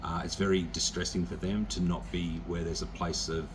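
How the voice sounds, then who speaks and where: A man with an Australian accent speaking unscripted as a visiting pastor, in a halting, plain, reassuring way to a church. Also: sits low, level tone, noisy background. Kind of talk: unscripted encouragement to a church congregation.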